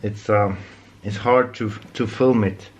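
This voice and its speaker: Norwegian guy, english not his first language, low voice, slow and hesitant, keeps restarting words. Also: steady tone, dull audio. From Norway. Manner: halting, frequent restarts and fillers. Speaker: DIY repair vlogger. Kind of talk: casual narration while working.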